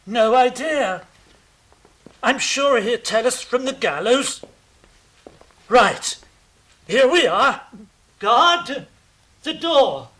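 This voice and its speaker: A man's voice: extremely high pitch, lively intonation, very slow, pauses often, pushed and bright, heavily acted. A man playing a weary middle-aged king who talks in a dry, sarcastic, put-upon way.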